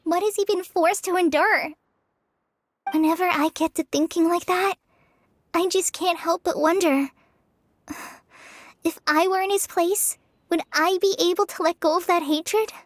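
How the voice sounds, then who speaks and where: A woman voicing an earnest, soft-hearted girl companion in a game, who speaks hesitantly and often sighs. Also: extremely high pitch. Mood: troubled.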